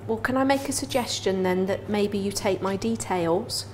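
A woman's voice: medium pitch, normal speed, a little mellow, slight background noise, natural voice. A woman in her thirties playing a polite, persistent salon client in a scripted training role-play. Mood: persistent, put out. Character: adult female salon client, polite, persistent, matter-of-fact. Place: small office, indoors. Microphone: clip-on lapel microphone.

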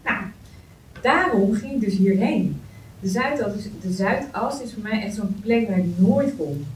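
A woman's voice: mid-range voice, expressive, measured pace, darkish tone, close to an everyday voice. A woman playing a chatty, idealistic young woman with an earnest, informal manner at a script table read. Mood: earnest, critical. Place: meeting room. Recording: roomy, distant mic, faint noise.

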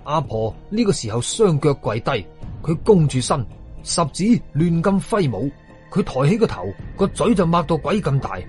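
A man's voice: on the high side, sing-song, moderate pace, darkish tone, soft background music. A man with a Hong Kong Cantonese accent narrating a scary story in the first person, dramatically, with colloquial asides and exclamations. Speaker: horror storyteller.

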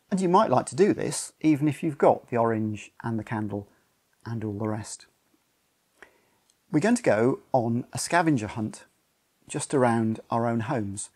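Older man, husky, animated delivery. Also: medium pitch. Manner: simple, explanatory, addressing families. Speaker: church service leader. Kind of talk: instructional talk to a home congregation. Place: living room at home, indoors.

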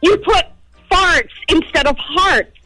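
A woman's voice: very high voice, slow, bright, forceful tone, dry recording, soft background music. A woman on a phone call as an upset customer, exasperated and emphatic. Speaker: prank call victim, customer.

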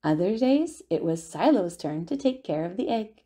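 A woman reading a children's picture book aloud as a storyteller, with expressive, deliberate delivery and drawn-out words. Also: mid-range voice, husky. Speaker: children's storyteller at a family centre.

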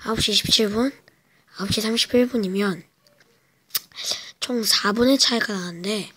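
Woman vlogger, whispering, breathy and bright, slow and halting delivery at home. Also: mid-range voice. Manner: slow, hesitant, with many fillers. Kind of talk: whispered casual storytelling to camera. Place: home, indoors.

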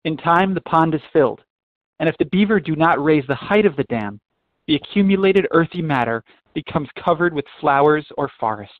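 Higher-voiced man, phone-like sound. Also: animated, a little fast, no room sound. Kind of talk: reading nature book aloud. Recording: dry, phone-like narrow sound.

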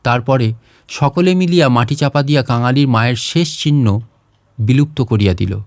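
A man's voice: medium pitch, moderate pace, a little mellow, dry recording, studio quality. A man narrating a literary short story as a scripted audiobook reading.

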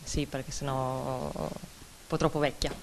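A woman, a shopkeeper answering thoughtfully in her small shop, pausing with hums. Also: very deep voice, flat delivery. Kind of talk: interview answer. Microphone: handheld interview mic.

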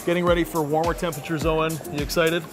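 A man's voice: a little high, flat delivery, very fast. A man with a Canadian accent hosting upbeat, jokey promotional banter on camera in a shopping mall. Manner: jokey, punning. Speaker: host, radio personality. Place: inside a shopping mall and its stores. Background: background music.

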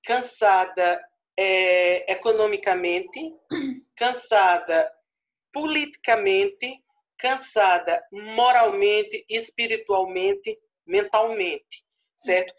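A man in his forties speaks with a northeastern Brazilian Paraíba accent, giving a university lecture from a small room at home. He talks into an earphone headset on a video call. His delivery is expansive, with key words drawn out slowly and points closed with a questioning "certo?". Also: sits high, poor audio. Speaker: guest lecturer. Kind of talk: online lecture.

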